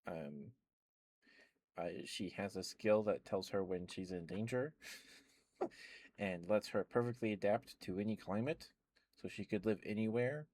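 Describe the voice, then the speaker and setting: A man chatting softly and rambling with many fillers into a close mic with a fuzzy cover, as an ASMR streamer at home. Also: fairly low pitch, a little slow, long pauses. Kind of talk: soft rambling ASMR chat.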